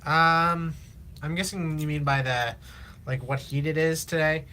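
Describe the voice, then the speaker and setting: A teenage man from Illinois, chatting casually on a live stream while he builds, rambling with lots of fillers, his voice slightly raspy from long talking. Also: on the high side, steady tone, bright, forceful tone, a little hiss or hum. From Illinois, USA. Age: teens. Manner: rambling, halting, many fillers and restarts. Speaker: livestreamer.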